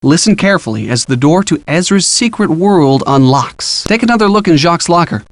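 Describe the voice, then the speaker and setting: A man's voice: a little high, lively intonation, fairly quick, dry recording. An actor playing a helpful young male friend who coaches a detective in a video game, with an earnest, encouraging delivery. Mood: helpful, coaching. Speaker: hint-giving game character. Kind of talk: scripted video game voice acting.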